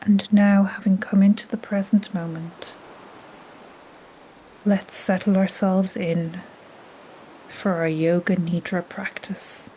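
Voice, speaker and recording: A woman guides a meditation in a mellow, breathy, soothing voice that often drops toward a whisper. She takes her time, speaking very slowly at a middle pitch. Gentle ocean waves run softly behind her. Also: rough recording. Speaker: yoga nidra guide. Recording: steady soft noise like ocean waves.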